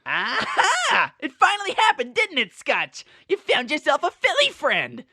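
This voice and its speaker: A woman's voice: high-pitched, huge pitch swings, measured pace, bright, forceful tone, dry recording, studio quality, heavily acted. A woman voicing a brash, teasing, cocky young male pegasus pony with boisterous slang in a fan-made audio story. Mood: excited, teasing. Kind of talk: fan fiction audio drama.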